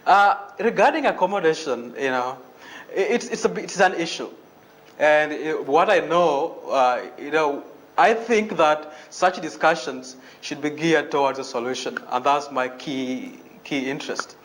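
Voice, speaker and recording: A young man from Uganda speaks in a measured, careful way and often pauses on "you know" while he answers questions. His voice sits a little higher than most men's. He talks as a student leader in a panel interview. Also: expressive, fairly bright tone, low-quality recording. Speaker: student association president.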